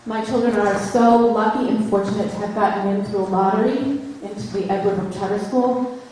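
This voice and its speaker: A woman's voice: mid-range voice, steady tone, brisk, warm tone, a little hiss or hum. A woman and mother testifying at a public hearing, earnest and pleading. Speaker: parent testifying. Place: large hall, indoors. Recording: echoey hall from far off.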